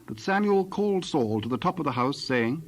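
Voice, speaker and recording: Measured male scripture narrator. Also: a little high, animated, a little hiss or hum.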